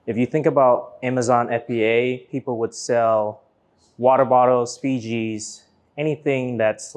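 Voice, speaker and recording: A man from Vietnam, raised partly in Florida, answers as an interview guest. His voice sits at a medium pitch. He talks casually and lays out his explanations one step at a time. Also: a little mellow. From born in Vietnam, grew up in Florida from age nine. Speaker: interview guest, business owner.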